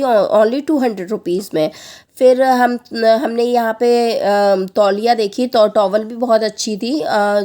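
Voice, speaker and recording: A woman in her thirties with a sore, husky throat, chattily narrating a family outing as a vlog voice-over and pausing often as she lists prices. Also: fairly high pitch, darkish tone. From Lucknow, India. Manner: chatty, lists prices with frequent hesitant pauses. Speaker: family vlogger.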